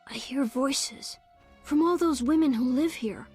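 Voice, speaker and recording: A woman's voice: high voice, a little slow, darkish tone, faint music behind. A woman voicing a lonely, anxious young boy in a video game, in a childlike, plaintive way. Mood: frightened, pleading.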